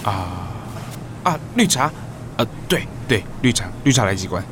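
Man acting a slow-talking, wry young Taiwanese guy. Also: fairly low pitch, sing-song. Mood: musing. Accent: Taiwanese Mandarin. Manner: muttering to himself, playful. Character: chatty, wry young man new to the neighborhood. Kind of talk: audio drama monologue. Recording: clear noise behind the voice.

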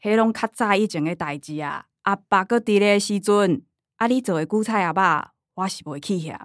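A woman's voice: medium pitch, moderate pace, no echo, studio quality, lightly acted. An actress playing a middle-aged mother in a radio drama, chatty and complaining, with a Taiwanese accent. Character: middle-aged mother, coaxing with daughters, exasperated with grandma.

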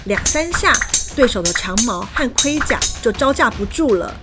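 A woman narrating a children's fairy tale in a lively, expressive way. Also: a little high, moderate pace. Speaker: storyteller. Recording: background music.

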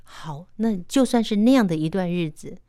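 A woman's voice: mid-range voice, animated, normal speed, dark tone, clear, resonant tone, no echo, clean studio sound. A woman with a Taiwanese Mandarin accent hosting a radio interview in a conversational, lightly teasing way. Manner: teasing, reacts with short interjections.

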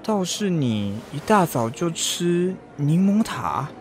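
Shy young man, very lively pitch. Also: takes it slowly. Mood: friendly. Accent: Taiwanese Mandarin. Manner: hesitant, stammers when flustered. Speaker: voice actor in an audio drama. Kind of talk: Mandarin radio drama acting. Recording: music bed under the voice.

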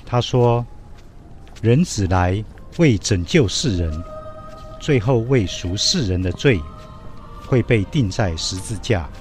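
A man plays a calm young stranger with a wise teacher's manner in a biblical radio drama. His voice is fairly low and measured as he questions and instructs. Faint music plays behind. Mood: calm, questioning.

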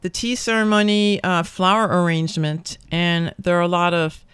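A woman's voice: on the low side, moderate pace, fairly bright tone, clean tone, no echo. Enthusiastic woman radio host. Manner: enthusiastic, frequent exclamations and fillers. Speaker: radio show host and English department chair. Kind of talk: friendly interview.

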